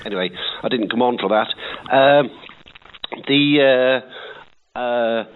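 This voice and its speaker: A man calling a radio phone-in with a croaky voice, sounding indignant and sarcastic. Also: medium pitch, slow, a little hiss or hum. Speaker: caller. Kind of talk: phone-in call, reading out and criticising. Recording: tinny phone line.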